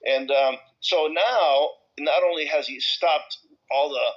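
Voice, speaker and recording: A man from El Salvador talking casually and off the cuff in a group discussion, in a halting, rambling way with repeated words and broken-off thoughts. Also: a little high. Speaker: discussion participant. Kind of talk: casual, impromptu personal talk in a group discussion. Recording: slightly dull, lo-fi audio.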